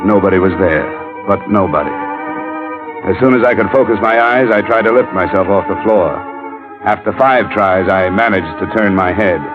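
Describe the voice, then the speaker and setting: An American man playing a wry, world-weary insurance investigator in a 1950s radio detective drama, with a sardonic, clipped, deadpan delivery. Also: deep voice, moderate pace, lightly acted. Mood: wry, narrating. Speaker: lead actor and narrator. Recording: muffled vintage radio recording with music.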